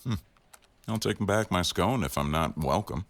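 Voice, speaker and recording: Man playing a very deep-voiced, wry office boss. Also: expressive, normal speed, slight background noise. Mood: wry, teasing. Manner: wry, formal, dryly teasing. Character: stern, teasing male office boss, protective of employee. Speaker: audio roleplay performer. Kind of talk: boyfriend ASMR roleplay acting.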